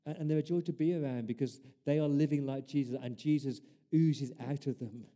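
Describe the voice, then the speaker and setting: A man's voice: medium pitch, steady tone, a little fast, warm tone. A man preaching a sermon as pastor, earnest, building his points through repeated rhetorical questions.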